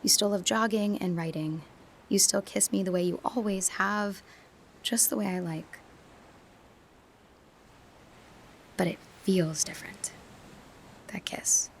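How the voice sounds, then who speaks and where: An actress playing a young female university student in a radio drama, reflecting quietly and sometimes dropping to a whisper. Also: medium pitch, measured pace, a little hiss or hum, natural voice. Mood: uncertain. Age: young adult.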